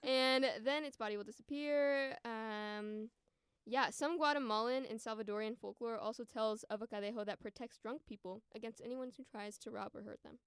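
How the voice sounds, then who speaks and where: Podcast guest, female, about 20, American. Crisp, dry close sound, chatty and informal delivery. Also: on the high side, steady tone, moderate pace, dry recording. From United States. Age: around 20. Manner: informal, frequent "like" and "you know". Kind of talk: casual conversational chat and storytelling.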